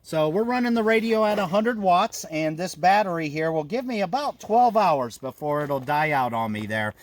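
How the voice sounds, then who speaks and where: A man in his fifties with an American accent and a fairly high voice. He talks in a chatty, folksy way, like a ham radio operator trading friendly small talk over the air. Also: lively intonation, moderate pace. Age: 50s. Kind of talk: casual ham radio conversation. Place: outdoors on a mountain trail.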